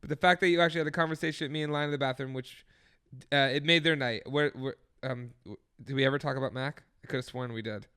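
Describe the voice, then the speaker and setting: A young man co-hosting a podcast, telling stories in an animated, laughing way. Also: mid-range voice, quick pace, clear, resonant tone. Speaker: podcast co-host. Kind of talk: casual chat.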